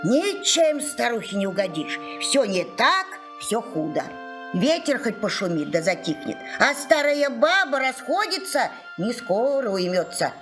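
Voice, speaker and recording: Animated woman storyteller over music. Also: fairly high pitch, unhurried. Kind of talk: expressive scripted fairy-tale narration.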